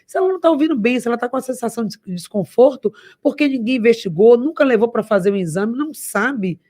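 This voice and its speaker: A woman hosts a radio interview. She is lively and emphatic, often finishing the guest's thoughts. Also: a little high, fast. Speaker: radio host.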